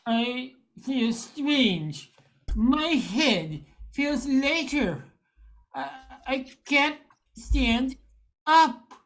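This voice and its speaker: An older woman playing a confused, gentle middle-aged man in an amateur radio play, with a halting, stammering delivery. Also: high-pitched, animated, full character voice, poor audio. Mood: weak, dazed. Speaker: amateur radio drama actor.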